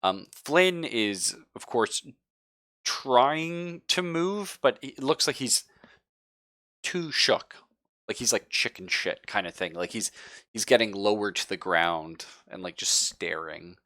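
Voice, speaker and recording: Male game master, low register, slow delivery, slangy and profane live narration, close dry sound. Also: sharp tone, no echo.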